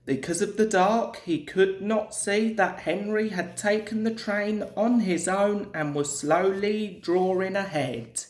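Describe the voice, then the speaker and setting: A woman reading a children's story aloud in a measured, deliberate way, as a scripted storybook narration. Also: medium pitch, darkish tone. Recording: echoey room.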